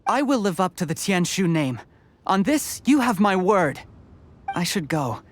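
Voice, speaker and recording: A man's voice: high-pitched, big pitch swings, dry recording, exaggerated voice. A man playing a polite, earnest young candidate in a video game, speaking in a courteous, diplomatic way. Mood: resolute, pleased. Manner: courteous, measured. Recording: prominent background music.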